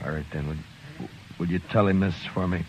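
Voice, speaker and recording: An actor playing a grim, determined frontier marshal in a 1950s radio western, speaking quietly and tersely. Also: male voice, low-pitched, steady tone, brisk, background noise, close to an everyday voice. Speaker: marshal and narrator. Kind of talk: 1950s radio drama acting. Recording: dull lo-fi vintage radio recording.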